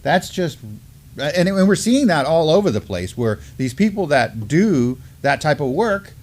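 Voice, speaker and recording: A man's voice: fairly high pitch, very animated. Middle-aged guy at a studio desk reading news stories, lots of uhs and restarts. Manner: halting, many fillers while reading. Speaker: co-host. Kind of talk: news discussion, reading articles aloud. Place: studio with mottled backdrop.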